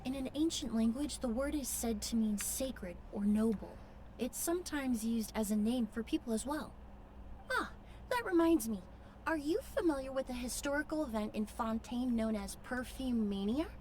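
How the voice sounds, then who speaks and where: A woman's voice: fairly high pitch, expressive, normal speed, darkish tone, slight background noise, close to an everyday voice. A woman playing a polite, scholarly young perfumer in an anime video game, formal and composed as she explains. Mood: explanatory.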